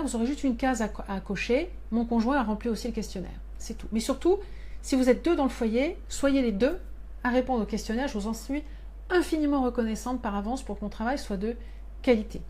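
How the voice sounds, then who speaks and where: Woman, 50s, elected official, direct-to-camera live address, earnest and explanatory, pitched a touch high, desk webcam in a small office. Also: fairly quick, mellow. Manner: explanatory, earnest, talks with her hands. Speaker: member of parliament addressing constituents abroad. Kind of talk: live-streamed monthly address. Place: small office with shelves of binders. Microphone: webcam or phone at desk.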